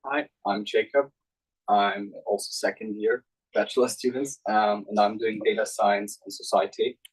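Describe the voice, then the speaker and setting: A man's voice: fairly low pitch, level tone, moderate pace, breathy edge, low-quality recording. A young man from Austria, answering off the cuff as a student panelist. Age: young adult.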